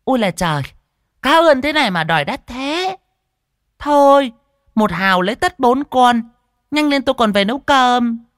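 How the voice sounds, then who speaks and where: A man voicing a sharp-tongued, scornful market woman who haggles and exclaims over and over in an audiobook story. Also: very high voice, lively intonation, normal speed, no echo, clean studio sound, pitched above the performer's own voice. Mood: scornful haggling.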